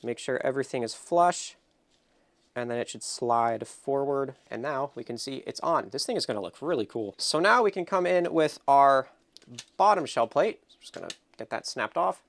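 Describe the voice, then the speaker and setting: A man's voice: medium pitch, lively intonation, normal speed, a bit bright, no echo. A man with a slightly congested, nasal voice talks through a hands-on build in a casual, upbeat tutorial style. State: nasal from feeling under the weather. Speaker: host of a console-building tutorial channel.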